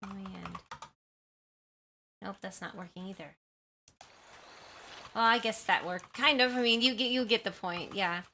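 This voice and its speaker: A woman's voice: medium pitch, measured pace, pauses often, background noise. A woman livestreamer chatting casually with her viewers, rambling and often apologizing, on a sensitive desktop mic in a room at home. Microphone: desktop condenser mic at high sensitivity.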